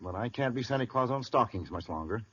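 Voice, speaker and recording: A man's voice: mid-range voice, lively intonation, quick pace, a bit bright, slight background noise, lightly acted. An actor playing an exasperated, grumbling father in a theatrical 1930s radio advertising sketch. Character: grumbling, exasperated father fretting over bills. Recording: dull old radio broadcast.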